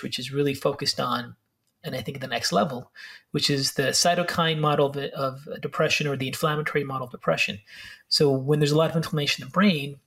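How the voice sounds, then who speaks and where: A man speaking as a guest expert, explaining brain health in a fast, filler-heavy way with restarts. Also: medium pitch, creaky, a bit bright. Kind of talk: explanatory interview talk.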